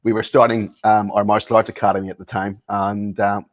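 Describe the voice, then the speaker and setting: A man's voice: lowish voice, level tone, brisk, dull audio. A man guesting on an interview show as a martial arts instructor, speaking thoughtfully with many ums and restarts. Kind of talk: casual interview conversation.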